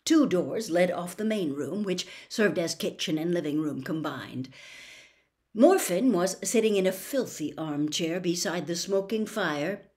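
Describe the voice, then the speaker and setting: Older lady in her 60s reading a story out loud, slow and really expressive. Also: fairly low pitch. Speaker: audiobook reader. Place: small home room with bookshelves, indoors.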